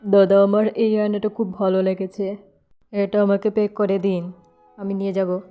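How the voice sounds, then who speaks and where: A woman's voice: mid-range voice, level tone, moderate pace, warm tone, soft background music, natural voice. A woman voicing a stubborn but affectionate young man, a doting older brother, in plain scripted cartoon dialogue. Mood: eager.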